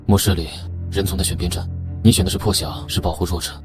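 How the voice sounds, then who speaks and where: A man acting a battle-hardened loner in an apocalypse animation. His voice sits very low, and he speaks in cool, terse, commanding lines with loud dramatic music behind him. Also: fairly even intonation, fast talker, natural voice. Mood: sombre. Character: aloof, battle-hardened man, powerful calm fighter in apocalypse. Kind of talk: dramatic dubbed animated-comic dialogue.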